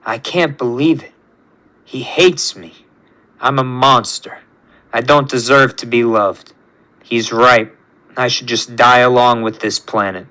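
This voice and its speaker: A man's voice: mid-range voice, fairly even intonation, a little slow, pauses often, sharp tone, pitched below the performer's own voice. A man as a dejected, self-loathing mutant, subdued. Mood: despairing. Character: self-loathing, despairing mutant creation, rejected by its maker.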